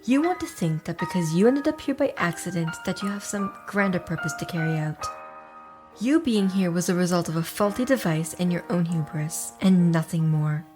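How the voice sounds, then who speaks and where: A woman playing a stern, aloof, menacing wanderer in an audio drama, speaking in a formal, measured way. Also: fairly low pitch, over music, natural voice. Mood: stern, lecturing.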